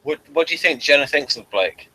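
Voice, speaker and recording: A man hosting a casual review discussion, talking in a halting way with repeated words. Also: on the high side, expressive, pushed and bright, rough recording.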